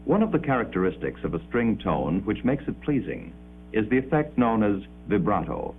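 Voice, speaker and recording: Deep guy doing formal 50s school film narration, old tinny soundtrack with hum. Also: sing-song, faint music behind. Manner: formal, measured, precisely enunciated. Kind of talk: 1950s educational film narration, scripted. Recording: muffled, phone-like 1950s film soundtrack with hum.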